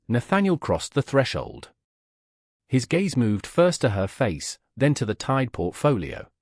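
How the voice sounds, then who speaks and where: A man reading scripted audiobook narration in a measured, literary manner. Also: mid-range voice, sing-song, no echo, clean studio sound.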